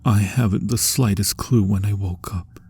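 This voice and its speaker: A man voicing an anguished vampire in a hushed, deliberate dramatic ASMR roleplay monologue, with pauses and breathy phrase endings. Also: on the low side, steady tone. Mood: grieving. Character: anguished male vampire, formerly human, confessing to lost love.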